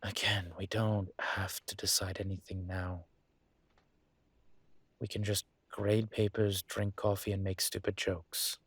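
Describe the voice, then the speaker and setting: Man acting as a deep, husky, courteous ancient incubus. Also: little pitch movement, no room sound. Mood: earnest, careful. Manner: measured, hesitant, formal wording. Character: ancient male incubus teacher, courteous, gently earnest, teasing. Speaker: voice actor in audio roleplay. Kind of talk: intimate one-sided audio roleplay acting.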